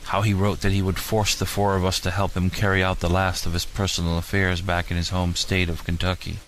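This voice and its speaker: A man's voice: deep voice, completely flat delivery, a little fast, a bit bright. A man narrating a first-person dramatic audiobook in a somber, melodramatic manner, recorded at home with some noise.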